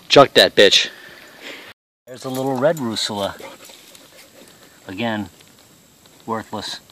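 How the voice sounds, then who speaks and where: A middle-aged man with a fairly low voice talks bluntly and casually, tossing off salty remarks. He is outdoors on a forest trail, recorded on a handheld camera. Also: fairly bright tone, slight background noise. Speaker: father showing mushrooms on a hike.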